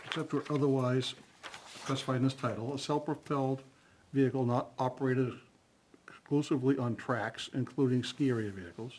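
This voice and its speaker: A man's voice: mid-range voice, fairly even intonation, a little fast, darkish tone, a little hiss or hum, poor audio. A man on a town planning board, reading legal definitions aloud and discussing them at a public meeting.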